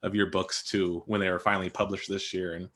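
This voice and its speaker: A man's voice: low-pitched, flat delivery, a little fast, a bit bright. A man hosting a podcast-style author panel, interviewing in a casual, conversational way. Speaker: podcast host and panel moderator.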